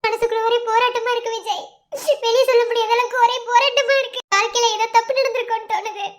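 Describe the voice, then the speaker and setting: A woman's voice: very high voice, fairly quick, a bit bright. A woman playing an anguished young mother in a family melodrama, tearful, plaintive and full of questioning doubt. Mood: distressed. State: crying. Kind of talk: melodramatic family serial acting.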